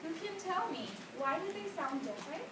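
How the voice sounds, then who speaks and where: A woman's voice: very high-pitched, very animated, normal speed. A woman music teacher taking a class, asking the children questions and coaxing them to answer. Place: school classroom. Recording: echoey classroom from far off with loud noise.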